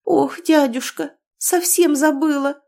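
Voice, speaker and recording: A woman's voice: high-pitched, steady tone, slow, creaky, mellow, voice pushed up, full character voice. A woman voicing a young noblewoman who plays at naive, tearful outrage with a wailing, gushing manner. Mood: shrill complaint. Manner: wailing, gushing like a silly girl.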